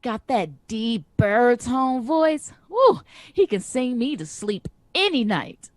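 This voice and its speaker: A woman's voice: a little high, big pitch swings, slow, dry recording, clean studio sound. An actress playing a playful, flirty, sassy woman care worker in an audio drama. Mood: playful, swooning. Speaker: actor. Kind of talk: naturalistic audio drama acting.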